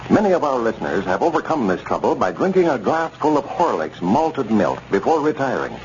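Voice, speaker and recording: A man announcing on 1930s radio, reading a scripted sponsor pitch in a polished, measured, formal way. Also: a little mellow. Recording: dull, noisy 1930s radio recording.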